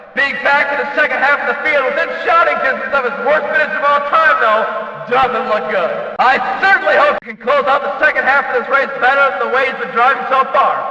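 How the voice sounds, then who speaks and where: A man playing an excitable race announcer in a video game, calling the race from the booth in a folksy, emphatic, dramatic way. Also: extremely high pitch, exaggerated voice. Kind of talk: excited motorsport race commentary. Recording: echoey track PA, slightly dull, distant.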